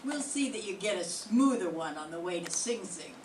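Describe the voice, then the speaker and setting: An older woman playing a gruff, sarcastic male police sergeant in an amateur radio drama reading. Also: medium pitch, expressive, natural voice. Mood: brusque. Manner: brisk orders, dry sarcasm. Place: classroom. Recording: echoey room, far from mic, noise.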